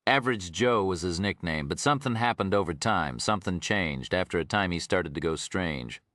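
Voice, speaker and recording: A man's voice: sits low, animated, moderate pace, a bit bright, dry recording, studio quality. A man voicing a retired Texan prison officer in an audiobook, an older man with a folksy, rambling drawl. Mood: musing. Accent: Texas.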